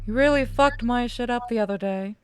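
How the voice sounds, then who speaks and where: A woman's voice: fairly high pitch, fairly quick, faint music behind, pitched above the performer's own voice, lightly acted. A woman voicing a menacing, foul-mouthed male killer from a visual novel in a curt, threatening manner. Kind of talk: visual novel character read-aloud.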